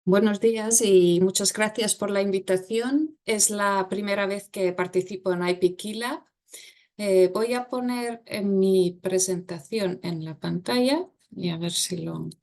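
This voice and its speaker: A woman's voice: medium pitch, unhurried, darkish tone. A woman from Estonia with an Estonian accent, not a native speaker, giving a webinar presentation in a careful, slightly hesitant and self-deprecating way.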